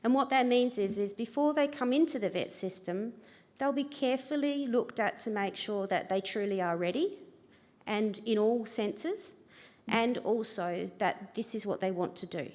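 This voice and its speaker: A woman's voice: fairly high pitch, normal speed, a little mellow. An Australian woman, a government education manager, giving a chatty, self-deprecating conference talk at a lectern microphone. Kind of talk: conference presentation. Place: large convention hall. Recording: reverberant hall, livestream.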